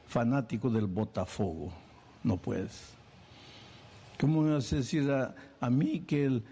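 A man preaching a sermon, animated, acting out little scenes and firing off questions. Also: mid-range voice.

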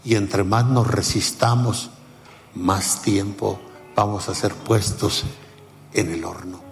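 Elderly male preacher, deep and deliberate, in a reverberant hall with faint music. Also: steady tone, gravelly. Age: 70s. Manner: unhurried, emphatic, laughs at himself. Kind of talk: sermon.

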